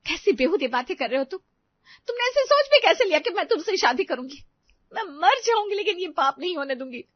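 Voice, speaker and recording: A woman's voice: extremely high pitch, sing-song, a little fast, no room sound, dull audio, voice pushed up. A woman voicing an anguished but defiant young widowed mother in a melodramatic audio story, emotional and protesting. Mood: anguished outrage.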